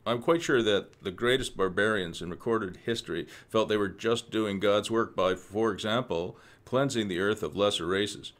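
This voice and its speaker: A man playing a suavely threatening government official in a radio play, condescending, formal and deliberate. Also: on the low side, fairly bright tone, lightly acted.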